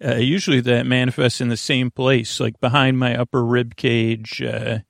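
A man hosting a bedtime podcast in a creaky voice, rambling in a lulling, halting, meandering way. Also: medium pitch, little pitch movement, no room sound, studio quality. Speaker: podcast host.

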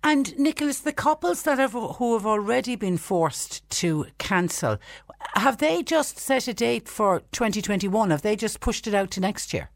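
Lively woman radio host. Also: mid-range voice, vocal fry, no echo. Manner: brisk and lively questioning. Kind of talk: radio interview. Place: radio studio.

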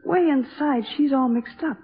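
A woman's voice: sits high, moderate pace, natural voice. An actress playing a plain-spoken, protective American woman, wry and earnest, in 1950s radio drama style. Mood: worried, urgent. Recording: tinny, narrow old radio broadcast.

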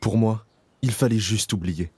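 A man's voice: on the low side, unhurried, a little mellow, breathy edge, no room sound. A man playing a haunted camp survivor. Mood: weary. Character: male camp survivor, weary, haunted, narrating his memories. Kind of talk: audio drama narration. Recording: music playing behind the voice.

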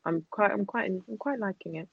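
A woman's voice: mid-range voice, moderate pace, creaky, breathy edge, no room sound. A woman in her thirties speaks as a podcast guest in a candid, rambling way, full of small fillers and restarts. Her voice is recorded close and dry, with a slightly muffled, dull tone. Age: 30s. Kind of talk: casual chat.

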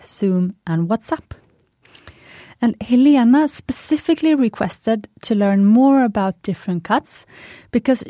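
A woman's voice: mid-range voice, mellow, no room sound, clean studio sound. Chatty Swedish-native woman podcast host. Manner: chatty, enthusiastic, fond of puns. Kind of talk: scripted podcast monologue.

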